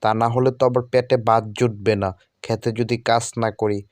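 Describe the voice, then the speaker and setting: A man's voice: mid-range voice, little pitch movement, brisk, darkish tone, no room sound, natural voice. A man playing an earnest, worried village farmer and husband in a Bangla cartoon. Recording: music under the voice.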